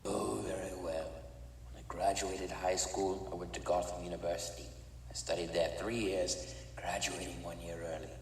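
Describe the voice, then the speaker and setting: A disfigured criminal chemist, played by a man, with a very low voice and a calm, clipped, detached delivery. He sounds set back from the mic in an echoey room with a long tail of reverb. Faint background noise sits under the voice. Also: normal speed, recorded from a distance. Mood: matter-of-fact. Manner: calm, clipped, matter-of-fact. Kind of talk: fan audio drama acting.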